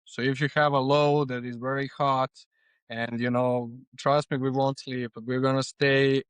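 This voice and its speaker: Smooth, even-toned Serbian man. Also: mid-range voice, normal speed, dry recording. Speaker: podcast guest, freight agent. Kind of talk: conversational interview answers.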